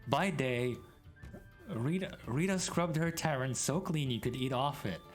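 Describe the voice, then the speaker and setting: A man's voice: mid-range voice, unhurried. A man doing a dramatic storybook reading in a theatrical, mock-grand way. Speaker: streamer reading a story aloud. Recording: background music mixed under voice.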